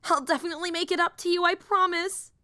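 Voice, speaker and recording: Woman doing nerdy young hero girl for audio roleplay, high voice, flustered n rambly, super earnest, really dry recording. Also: steady tone, fast, sharp tone, no room sound. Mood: relieved, grateful. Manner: rambling, earnest, apologetic, self-interrupting. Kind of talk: roleplay audio drama acting.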